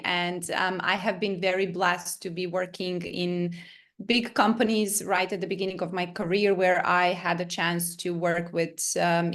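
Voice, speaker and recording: A woman's voice: mid-range voice, fairly bright tone. A woman in her thirties from Serbia, answering interview questions with frequent hesitations and fillers. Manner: hesitant, many ums. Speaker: guest coach and trainer.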